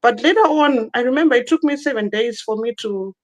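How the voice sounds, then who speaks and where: A woman's voice: on the high side, brisk. A woman in her thirties from Zimbabwe, a guest telling her personal story in an online interview, earnest and given to repeating herself.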